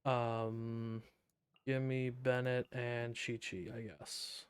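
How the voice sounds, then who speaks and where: A man's voice: mid-range voice, level tone, very slow, clean tone. A man streaming a video game live, chatting casually, thinking aloud and reading game text in a laid-back way.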